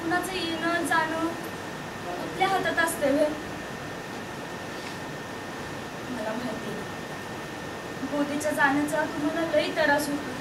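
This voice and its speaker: A woman's voice: sits high, steady tone, moderate pace, lightly acted. A woman playing a caring village wife who pleads with and coaxes her grieving husband in rural Maharashtrian Marathi, in a stage drama. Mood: gently coaxing. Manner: coaxing, pleading. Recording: distant echoey stage recording, loud noise.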